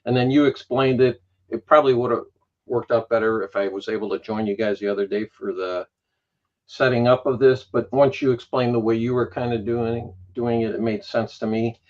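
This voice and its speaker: A man's voice: medium pitch, fairly even intonation, highs cut off. A man in his sixties, a guest on a livestream, telling stories at an easy pace with lots of "you know". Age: 60s. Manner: storytelling, unhurried, with many "you know" asides. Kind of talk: casual chat.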